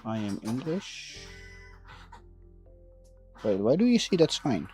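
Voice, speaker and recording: A man chatting casually while working on a build, thinking aloud in a halting way and repeating words. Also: medium pitch, very animated, darkish tone, faint music behind. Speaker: maker livestreaming a 3D printing build.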